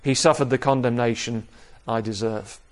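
A man preaching an expository sermon in a measured, explanatory manner, repeating key phrases for emphasis. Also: mid-range voice, fairly even intonation. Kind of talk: sermon, expository Bible teaching.

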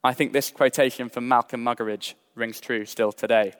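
A young adult man from Britain preaching a sermon in a measured, earnest way, mixing scripture with personal stories. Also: medium pitch, fairly even intonation, smooth. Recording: long hall reverb.

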